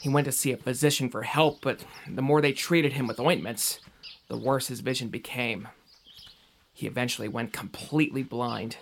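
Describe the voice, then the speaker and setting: A man's voice: medium pitch, fairly even intonation, normal speed, gravelly, a little hiss or hum, close to an everyday voice. An actor playing a doubtful, earnest young man in an audio drama, speaking in a conversational, questioning way. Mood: somber, explaining.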